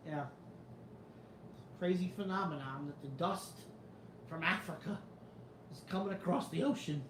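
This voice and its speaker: A man's voice: high voice, unhurried, echoey room, noisy background. A man with a New York Italian-American accent hosting a casual live chat, rambling, skeptical and swearing freely. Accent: New York Italian-American, comes and goes. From New York area. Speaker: show host reading live chat.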